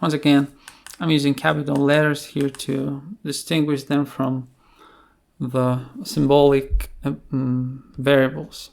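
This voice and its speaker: A man presenting an unscripted screencast coding tutorial in a hesitant, halting way, with pauses between phrases. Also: medium pitch, monotone, creaky, a little mellow.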